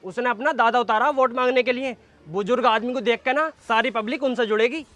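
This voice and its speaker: A man's voice: very high voice, brisk, a bit bright. A man playing a plain-spoken, reproachful sidekick to a village candidate in a Hindi comedy sketch. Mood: matter-of-fact.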